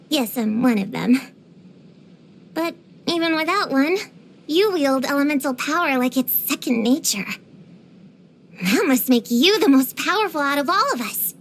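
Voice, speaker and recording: A woman's voice: high-pitched, slight background noise. A woman voicing an earnest, bookish young girl witch hunter in a video game, precocious, proud and slightly dramatic. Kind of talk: scripted game voice lines.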